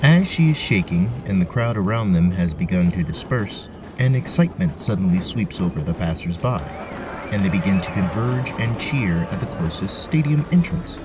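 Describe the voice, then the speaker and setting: A male narrator tells a fantasy adventure in scripted prose. His voice is low, with music clearly playing behind him. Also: animated, moderate pace. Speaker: narrator, game master.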